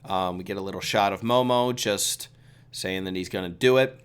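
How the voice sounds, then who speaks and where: A man who is a little sick hosting a relaxed, chatty solo review, giving his opinions with lots of fillers. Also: sits low, normal speed, clean tone. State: sick with a cold. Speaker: video host reviewing a comic chapter. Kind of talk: casual solo commentary.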